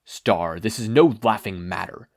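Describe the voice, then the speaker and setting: A man playing a proud, gruff, easily offended male superhero who talks in a forceful, scornful way. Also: fairly low pitch, a little slow. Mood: scornful, irritated.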